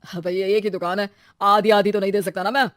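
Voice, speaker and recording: A woman's voice: mid-range voice, little pitch movement, fast talker, no room sound, studio quality, close to an everyday voice. Woman playing a blunt male shop landlord. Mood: dismissive.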